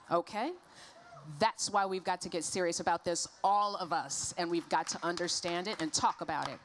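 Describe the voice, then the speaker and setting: A woman moderating a conference panel, talking to the crowd in an energetic, emphatic way. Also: mid-range voice. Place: stage at an advertising festival.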